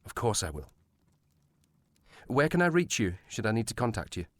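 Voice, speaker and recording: A man's voice: fairly low pitch, big pitch swings, normal speed, no echo. A man playing a courteous, cautious provincial police sergeant with formal speech in a period audio drama. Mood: resigned, courteous.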